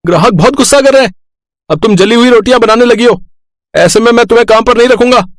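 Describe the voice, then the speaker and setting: A man's voice: very high voice, quick pace, no room sound, studio quality. A man voicing an angry, hard-nosed roadside eatery owner, blunt and scolding, as a storybook character.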